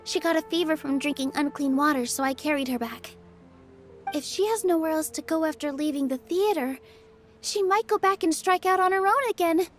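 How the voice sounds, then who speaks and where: A woman playing a gentle, earnest young theater dancer in a video game. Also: sits high, a little fast, soft background music, lightly acted. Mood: earnest, determined.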